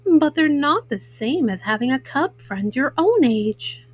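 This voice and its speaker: Woman as a high-voiced, motherly mama bear. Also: sing-song, a little slow. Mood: wistful, concerned. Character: caring, wistful mama bear, grown female.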